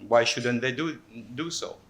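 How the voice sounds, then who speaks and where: Male panel speaker, bright tone, halting delivery with rhetorical questions. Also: mid-range voice. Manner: many restarts and repeated words. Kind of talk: panel presentation.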